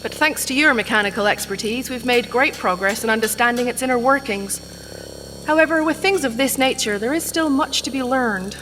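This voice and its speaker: In a clip from an audio drama, a woman plays a composed, formal overseer of strange anomalies. Her voice is bright and slightly high. It sits in a long reverb with music underneath. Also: slight background noise, close to an everyday voice. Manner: measured, formal.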